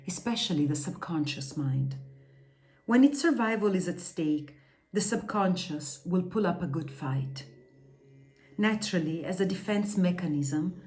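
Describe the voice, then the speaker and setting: A woman with a fairly low voice reads a scripted lesson on yoga philosophy. Her delivery is measured and deliberate, with each term carefully pronounced. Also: a little mellow. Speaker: reader of a yoga philosophy text. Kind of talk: scripted reading, instructional.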